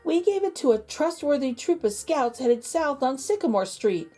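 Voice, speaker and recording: Woman playing a high, excitable storybook mother. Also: normal speed, faint music behind. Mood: apologetic. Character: apologetic, excitable young mother with a baby.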